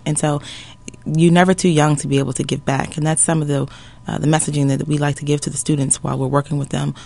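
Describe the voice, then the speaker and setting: Program rep, man, upbeat and measured, higher pitch with some gravel. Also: darkish tone. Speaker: guest, program staff. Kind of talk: radio interview.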